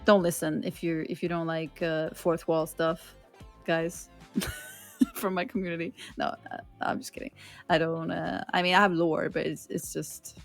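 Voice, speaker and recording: Woman, Swedish accent, non-native English speaker, streamer guest, rambling and giggly, lowish voice. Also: little pitch movement, unhurried, vocal fry, soft background music. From Sweden. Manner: rambling, giggly, many fillers. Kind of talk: casual interview chat.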